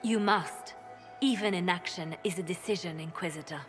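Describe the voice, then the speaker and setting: An actress plays a female spymaster in a fantasy game cutscene. Her voice sits fairly low, measured and grave, coolly persuasive and ruthless. Music plays clearly behind her. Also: close to an everyday voice. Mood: grave, insistent. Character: calm, ruthless female spymaster advising a leader. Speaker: spymaster advisor.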